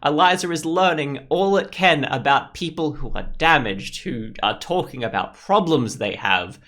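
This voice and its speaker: A man's voice: fairly high pitch, animated, normal speed, a bit bright. A man playing through a story game, casually commenting and reading its text aloud, often thinking aloud. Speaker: gaming YouTuber.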